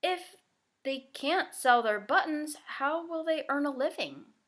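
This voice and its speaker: A woman's voice: high voice, expressive, measured pace, fairly bright tone, voice pushed up. A woman voicing an earnest, anxious ten-year-old girl in a children's novel, asking emphatic, innocent questions. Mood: puzzled, indignant. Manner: wide-eyed, emphatic questioning. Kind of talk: children's novel read-aloud.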